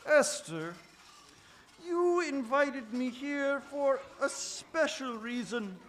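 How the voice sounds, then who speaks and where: Slow, high-voiced puppet king, acted out live. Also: lively intonation, fairly bright tone, full character voice. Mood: indulgent. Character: royal king puppet, indulgent but quick to outrage. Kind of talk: live puppet show acting. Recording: distant live hall with faint noise.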